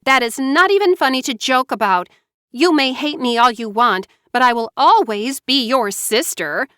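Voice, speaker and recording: Raging jealous young sister, read by a woman: high, loud, accusing, dry. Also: lively intonation, normal speed, smooth, no echo. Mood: indignant. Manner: shrill, accusing.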